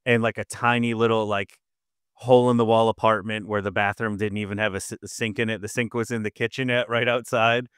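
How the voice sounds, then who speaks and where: Relaxed Massachusetts-born host, smooth voice. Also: male voice, lowish voice, brisk, no room sound, studio quality. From Massachusetts, Nantucket. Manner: relaxed, chatty storytelling. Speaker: podcast host. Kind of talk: casual podcast conversation.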